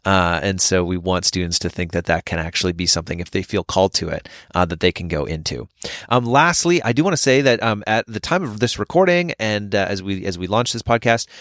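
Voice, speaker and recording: A man's voice: low-pitched, expressive, a little fast, fairly bright tone, dry recording, clean studio sound. A man hosting a podcast, talking in an earnest, upbeat way as he introduces the episode. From Canada. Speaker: podcast host.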